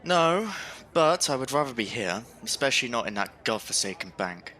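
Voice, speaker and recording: A kindly Victorian water-pump worker in an audio drama. His medium-pitched voice is bright and sharp, with a wry, teasing, down-to-earth manner. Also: sing-song, moderate pace, slight background noise.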